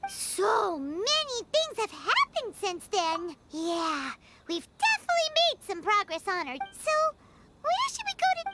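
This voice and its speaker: A woman voicing a small, childlike, expressive female fairy companion, chirpy and easily indignant, in an anime video game. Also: very high voice, unhurried, heavy background noise, full character voice. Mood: curious. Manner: refers to self in third person, expressive. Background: game music.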